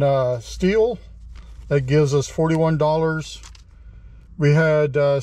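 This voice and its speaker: A middle-aged man casually narrating his scrap metal run, easygoing and rambling, often pausing on fillers and correcting himself. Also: a little high, darkish tone. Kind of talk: casual first-person vlog narration. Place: outdoors at a scrapyard, then inside a car.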